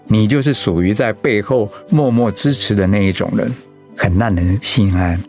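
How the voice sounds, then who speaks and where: Male actor as ailing gentle husband, Taiwanese accent, lowish pitch, earnest radio drama delivery, music behind. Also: normal speed, close to an everyday voice. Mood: tender, reflective. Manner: earnest, reflective. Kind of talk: Mandarin radio drama acting.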